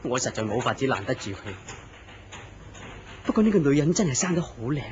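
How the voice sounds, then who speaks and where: A man's voice: mid-range voice, very animated, fairly quick, close to an everyday voice. A man voicing a flustered office worker who has been struck by a beautiful woman, earnest in a radio drama. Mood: dazed. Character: dazzled lovestruck male office worker. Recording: muffled lo-fi recording with hiss.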